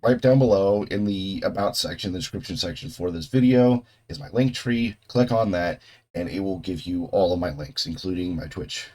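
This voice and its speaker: A young man hosting a reaction video, talking casually with a voice still hoarse from a cold, rambling, swearing and restarting his sentences. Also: deep voice, normal speed, darkish tone. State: hoarse, getting over a cold. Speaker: host of a video reaction channel. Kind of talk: casual off-the-cuff chat.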